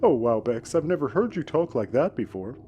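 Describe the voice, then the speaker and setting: An actor playing a soft-hearted, emotional space gunslinger in a sci-fi audio comedy. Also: male voice, medium pitch, sing-song, normal speed, dull audio, natural voice. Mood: surprised. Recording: faint background noise.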